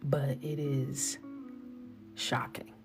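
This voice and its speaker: A low-pitched young woman from New York talks casually and playfully as she colors at her desk, now and then dropping to a whisper. Faint music plays behind her voice. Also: level tone, extremely slow. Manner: playful, chatty, drifts into whispers and laughs. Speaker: illustrator coloring on a livestream. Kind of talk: casual chat while coloring.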